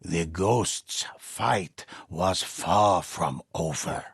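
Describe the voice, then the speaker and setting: An actor playing a solemn old male storyteller in a video game, narrating in a raspy, measured, mysterious way with deliberate pauses. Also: low-pitched, no echo, clean studio sound, exaggerated voice. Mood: solemn, ominous. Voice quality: raspy, husky, gravelly. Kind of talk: scripted dramatic storytelling narration.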